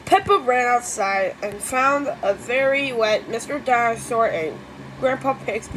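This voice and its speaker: A female reader telling a children's story aloud, halting and correcting herself as she goes, with informal asides. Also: on the high side, fairly bright tone, poor audio. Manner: halting, self-correcting reading. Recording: clear background noise.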